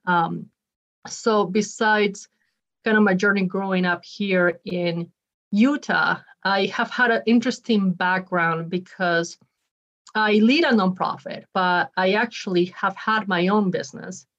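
A woman's voice: mid-range voice, unhurried, fairly bright tone, dull audio. A woman in her forties from Ecuador, a nonprofit leader chatting as a podcast guest, upbeat with frequent ums and restarts. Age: 40s. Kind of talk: podcast interview.

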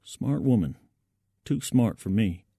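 A man's voice: lowish voice, expressive, slow, mellow, breathy edge, no echo, studio quality. A man narrating first-person fiction dramatically, in a gritty, colloquial storytelling manner.

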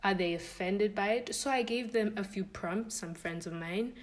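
A woman's voice: mid-range voice, normal speed. A young woman hosting a podcast, talking casually and thinking aloud in a string of questions. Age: young adult. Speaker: podcast host.

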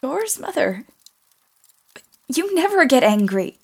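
Actress as passionate teen daughter who blames herself, high voice, earnest and intense, period audio play. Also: female voice, big pitch swings, takes it slowly, a little mellow, exaggerated voice. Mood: troubled, earnest. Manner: intense, confessional. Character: passionate, self-reproaching teenage tomboy daughter.

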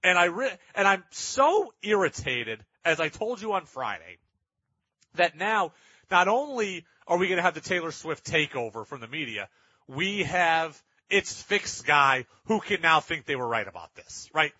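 High-pitched, forceful male sports radio host. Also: sing-song, unhurried, slightly rough, dry recording, muffled recording. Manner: exasperated, emphatic, repetitive ranting. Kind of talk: opinionated sports talk radio monologue.

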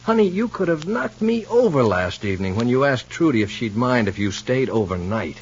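A man's voice: mid-range voice, big pitch swings, normal speed, close to an everyday voice. An actor playing an exasperated but protective, down-to-earth man in an old radio soap opera, chiding in a familiar way. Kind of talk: 1940s-50s radio soap opera acting. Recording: dull, hissy, humming old radio recording.

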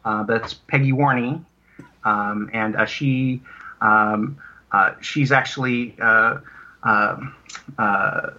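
A man chatting casually as an interview guest about his creative work, haltingly, often repeating words and restarting. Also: lowish voice, pushed and bright, lo-fi sound. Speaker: radio drama writer and producer, interview guest.

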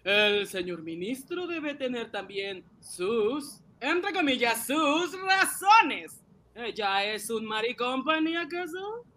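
Mujer haciendo de empresaria indignada, de entonación muy viva. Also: female voice, a little high, very animated, slow pace, pushed and bright, exaggerated voice. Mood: indignant, defiant. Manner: emphatic, protesting. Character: indignant, proud owner of a small national factory. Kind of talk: radio drama acting.

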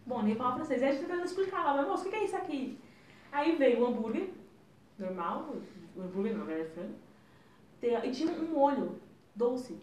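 A young woman, co-hosting a casual chat podcast, blunt and quick to swear. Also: sits high, lively intonation, darkish tone, distant mic, a little hiss or hum. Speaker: podcast co-host. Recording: roomy, mic set back, faint ambience.

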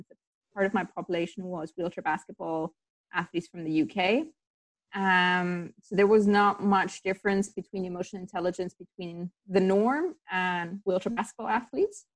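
A woman's voice: on the low side, steady tone, normal speed, low-quality recording. A woman who grew up in Tanzania, a sports psychologist guest talking casually in an interview, rambling with many fillers and restarts.

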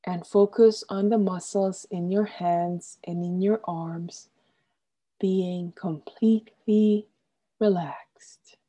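A woman guiding a relaxation meditation as an instructor, gently and soothingly, with deliberate instructions and softly trailing phrase endings. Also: on the low side, fairly even intonation.

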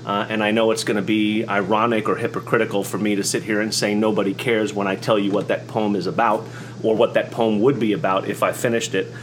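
Halting American man with faint background hum. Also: fairly low pitch, little pitch movement, rough recording. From United States, born in New York, from Oklahoma. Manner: halting, repeats words. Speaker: host. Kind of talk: reflective personal storytelling monologue.

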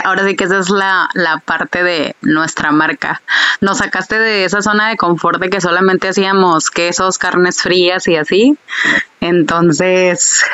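A woman's voice: fairly low pitch, a bit bright, clear, resonant tone, dull audio. A woman, a small business owner telling her story in a casual interview, chatty and full of fillers. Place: Tijuana.

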